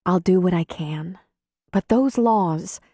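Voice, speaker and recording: Woman as a mellow, gently firm midwife. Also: mid-range voice, lively intonation, unhurried, no echo, studio quality. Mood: gentle, sober. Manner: gentle, concerned, earnest. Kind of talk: audiobook character dialogue.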